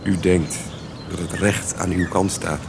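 A defiant young man with a gun in a radio drama, low in pitch. His speech is courteous, probing and menacing. There is clear background noise like boats outdoors. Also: fairly even intonation, measured pace. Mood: coolly menacing. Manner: polite but menacing, probing. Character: armed, defiant young man seeking a reckoning. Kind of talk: radio play acting.

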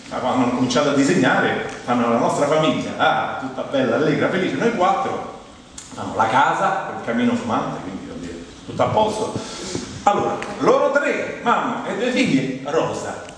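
A middle-aged man with a Roman Italian accent delivers a humorous theatrical monologue in a conversational, expressive way, pausing for effect. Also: mid-range voice. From Rome, Italy. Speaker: comic monologist. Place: theatre stage in front of an audience. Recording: very echoey hall, distant mic, noisy.

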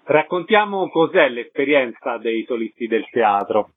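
A man's voice: mid-range voice, very animated, unhurried, no room sound. A man hosting a radio interview, putting questions and introducing guests. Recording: tinny phone-like radio sound.

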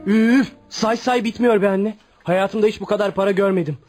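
An actor playing a poor schoolboy son in a radio play, excitable and wistful. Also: medium pitch, normal speed, music in the background, lightly acted. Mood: amazed. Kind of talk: Turkish radio theatre acting.

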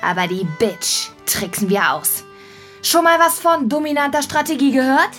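A woman's voice: sits high, lively intonation, moderate pace, fairly bright tone, faint music behind. An actress playing a confident, scheming woman in a melodramatic soap within a radio drama. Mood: conspiratorial.

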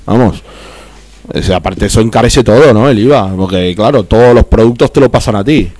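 A man's voice: fairly low pitch, brisk, a little mellow, no echo. Argentine man ranting, stumbling. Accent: Argentine Spanish. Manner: heated, repeats and stumbles over words. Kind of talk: casual political radio chat.

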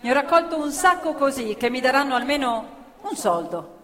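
A woman's voice: high-pitched, very animated, moderate pace, fairly bright tone, a little hiss or hum. An actress playing a poor, cheeky young working-class girl on stage, blunt and teasing. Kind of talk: stage theatre acting. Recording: live stage recording in a reverberant theatre with violin.

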